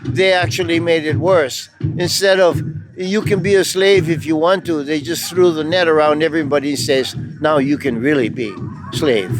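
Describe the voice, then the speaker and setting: Older male, somewhat higher voice. Earnest, rambling activist speech with halting pauses, music playing underneath. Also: fairly even intonation, poor audio. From Hawaii.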